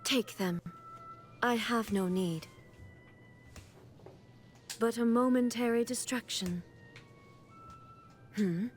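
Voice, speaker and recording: A woman's voice: fairly high pitch, takes it slowly, long pauses, soft background music. A woman voicing an aloof, regal thunder ruler in a video game.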